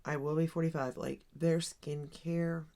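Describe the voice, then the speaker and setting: A woman's voice: sits low, fairly even intonation, unhurried, warm tone. A woman in her forties chatting casually while doing her makeup, rambling and thinking aloud with lots of ums and likes. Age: 40s. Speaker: beauty vlogger. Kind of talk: casual get-ready-with-me makeup chat.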